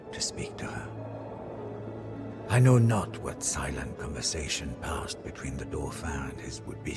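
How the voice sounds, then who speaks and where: A weary, loyal soldier chronicling a medieval war for a video game. His voice is very low and creaky, with a breathy edge. He tells it gravely and theatrically, at a measured tread, over prominent music. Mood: reverent, hushed. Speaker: narrator. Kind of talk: dramatic scripted storytelling for game cutscenes.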